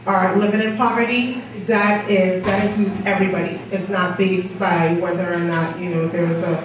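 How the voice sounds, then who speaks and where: A woman's voice: fairly low pitch, fairly even intonation, normal speed, noisy background. A woman presenting her research and moderating a panel, explaining in a conversational way. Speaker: graduate student presenter and moderator. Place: lecture hall. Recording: echoey hall from far off with audience.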